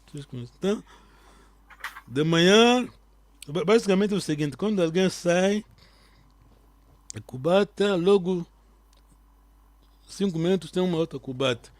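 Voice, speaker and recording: A man teaching a live online language lesson, quizzing his students and repeating key words slowly so they stick. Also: high voice, animated, dry recording.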